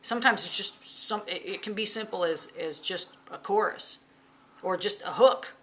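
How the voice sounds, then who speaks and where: A woman in her forties, a bass player and songwriter, chatting casually in an interview at home, lively with lots of fillers. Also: moderate pace, slight background noise. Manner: lively, chatty, laughs often, many fillers. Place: living room at home. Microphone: camera in front, close framing.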